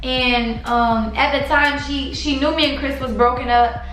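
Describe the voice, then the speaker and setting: A woman's voice: sits high, fairly even intonation, measured pace, a bit bright, very echoey, faint music behind, low-quality recording. A young woman vlogger telling a casual storytime in a sleepy late-night voice, chatty and confiding, calling her viewers y'all.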